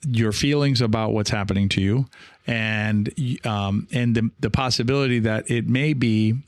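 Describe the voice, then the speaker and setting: A man hosting a casual solo podcast, talking in a rambling, reassuring way with frequent pauses and check-ins. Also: on the low side, fairly even intonation, no room sound, clean studio sound. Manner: hesitant, rambling, reassuring, often checking in with "right?". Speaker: podcast host and experienced pickleball player. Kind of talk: casual solo podcast talk, reading and answering listener comments.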